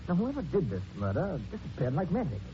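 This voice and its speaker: An actor playing a harried, cheeky newspaper reporter in a 1940s radio drama. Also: male voice, high voice, wildly swooping pitch, fast. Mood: exasperated. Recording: muffled, noisy 1940s radio recording.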